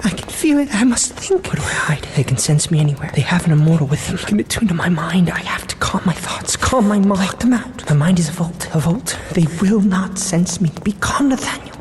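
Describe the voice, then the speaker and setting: A woman's voice: on the low side, animated, brisk, hardly any pauses, natural voice. Woman voicing a frightened young boy on the run. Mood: fearful whisper. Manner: breathless anxious inner monologue, whispering.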